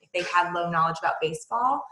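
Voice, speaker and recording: A woman co-hosting an online teaching course, explaining calmly and often agreeing, with "um"s and "uh"s. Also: fairly low pitch, fast talker. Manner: agreeable, backchannels often, filler-laden. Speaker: course co-host, literacy educator. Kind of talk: casual instructional discussion. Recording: roomy echo.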